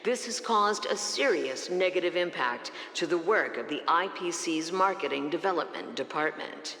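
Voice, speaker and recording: A formal news announcer. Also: female voice, fairly low pitch, normal speed, reverberant, big-room sound. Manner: formal, even newsreading.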